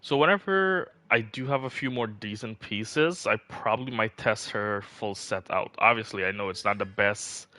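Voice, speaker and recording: A man's voice: fairly low pitch, sharp tone, no room sound. A man livestreaming a game, chatting casually in a rambling, informal way. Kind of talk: casual livestream chat about game builds.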